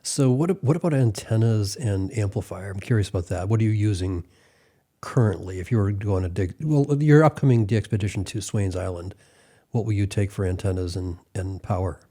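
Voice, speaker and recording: A man's voice: lowish voice, moderate pace, mellow. A man hosting a casual interview, asking questions in a curious, conversational way. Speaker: interviewer, host.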